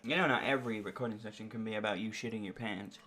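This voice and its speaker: A young man bantering casually as a podcast co-host, sardonic and quick to swear. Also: on the low side, sharp tone. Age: young adult. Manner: sardonic, profane.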